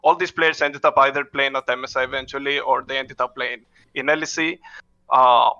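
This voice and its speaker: Gravelly, bright-voiced man, halting speech. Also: medium pitch, steady tone, lo-fi sound. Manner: halting, frequent "uh" fillers and restarts, non-native phrasing. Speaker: head coach, interview guest. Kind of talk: casual interview answers.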